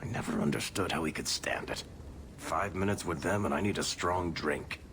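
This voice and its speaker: A man's voice: sits low, moderate pace, fairly bright tone, slight background noise. A man voicing a wry, loyal dwarf rogue and storyteller in a video game cutscene, with a dry, sardonic manner. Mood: wry, musing.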